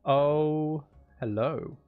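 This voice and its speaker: A man's voice: a little high, very slow, clear, resonant tone, narrow, tinny audio. Casual, self-deprecating male streamer over music. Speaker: game streamer and audiobook narrator. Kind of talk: casual livestream chat and game commentary.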